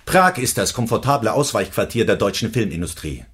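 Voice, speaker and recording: An actor in a German radio drama playing a brash, cynical screenwriter who reports in clipped phrases. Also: male voice, fairly low pitch, big pitch swings, a little fast, a bit bright, husky, full character voice. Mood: narrating, emphatic.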